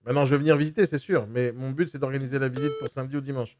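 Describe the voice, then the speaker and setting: A man's voice: medium pitch, fairly even intonation, very fast. A man posing as a buyer on a phone call, pressing hard and repeating himself to get his way. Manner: pushy, insistent, repeats himself. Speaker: mystery shopper posing as buyer.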